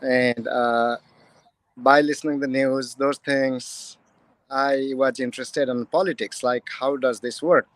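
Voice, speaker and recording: Slow-speaking man with a Nepali accent. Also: medium pitch, fairly even intonation, no room sound. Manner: slow, plain, broken English. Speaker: guest. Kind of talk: interview answers.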